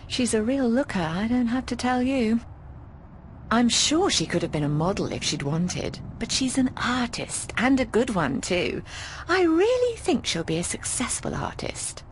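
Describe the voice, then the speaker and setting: An actress voicing a breezy, affectionate, bawdy landlady in a video game, chatting in an expressive, teasing way. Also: mid-range voice, a little hiss or hum. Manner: expressive, teasing, calls people darling. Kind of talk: conversational video game voice acting.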